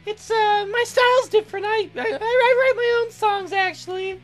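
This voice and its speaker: A man voicing a nervous, awkward young woman songwriter in a visual novel, with a hesitant, halting delivery. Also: extremely high pitch, soft background music, voice pushed up. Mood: shy. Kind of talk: visual novel character read-aloud.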